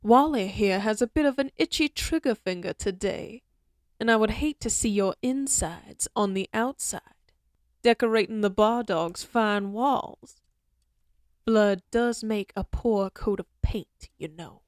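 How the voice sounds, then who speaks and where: A woman's voice: mid-range voice, unhurried, no room sound, studio quality, close to an everyday voice. A woman playing a coolly menacing outlaw circus ringmaster in an Old West audio drama, mock-polite and theatrical. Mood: mocking menace.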